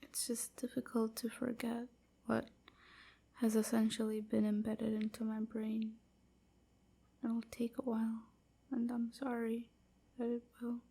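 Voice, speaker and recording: Woman acting as timid vampire slave, anxious, apologetic, hushed and stammering, slow pace, flat intonation, intimate audio roleplay. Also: on the high side, exaggerated voice. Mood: submissive, guilty. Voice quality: soft, breathy, often whispery. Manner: hesitant, stammering, hushed and breathy.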